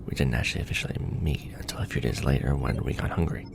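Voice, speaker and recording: A man acting a wry, casual host of an alien symbiote in an ASMR roleplay, with a breathy, creaky voice. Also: extremely low pitch, quick pace, background noise, full character voice. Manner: casual, wry, hesitant in explanations. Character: weary, joking young man bonded to an alien symbiote.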